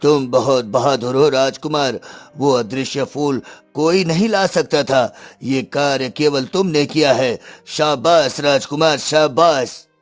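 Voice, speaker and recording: A man voicing a wise, protective holy sage in a cartoon story, solemn and measured. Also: medium pitch, soft background music, natural voice. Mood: solemn approval.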